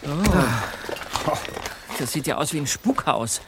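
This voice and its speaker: Excitable, high-voiced boy detective. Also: slow pace, very noisy. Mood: uneasy. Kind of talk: German audio drama acting.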